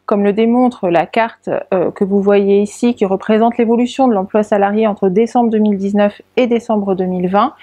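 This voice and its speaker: Mellow French woman presenting, dry sound. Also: on the high side, no room sound. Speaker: presenter of an informational tutorial. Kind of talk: structured explanatory presentation.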